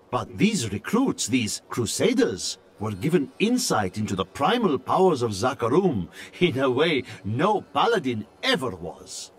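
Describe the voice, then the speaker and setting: An actor playing a learned scholar-chronicler, reading his journal as grave, intrigued, deliberate dramatic narration for a fantasy video game. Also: medium pitch, a little hiss or hum. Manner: theatrical, deliberate, storytelling delivery.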